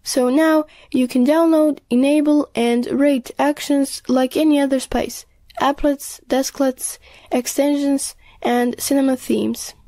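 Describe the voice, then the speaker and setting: A woman reading scripted narration for a tech news video in a measured, explanatory way. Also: high-pitched, steady tone, mellow, no room sound.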